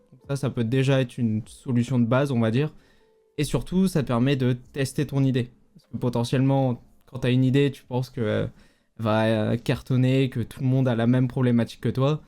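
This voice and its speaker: A man with a mellow, warm tone and an ordinary mid-range pitch talks through his work in a relaxed, informal way, pausing often on fillers. Faint music sits quietly underneath. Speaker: native mobile app developer, guest. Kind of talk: casual interview chat.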